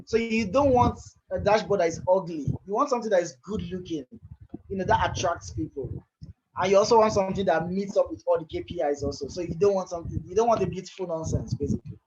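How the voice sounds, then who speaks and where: A man presenting a live software tutorial over a video call, talking in a loose, conversational way that often repeats and restarts phrases. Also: high-pitched, fast, dull audio, a little hiss or hum.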